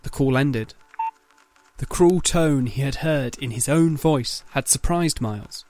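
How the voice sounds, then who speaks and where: A man's voice: mid-range voice, unhurried, darkish tone, soft background music. A man reading dramatic scripted narration as the narrator of an audio story.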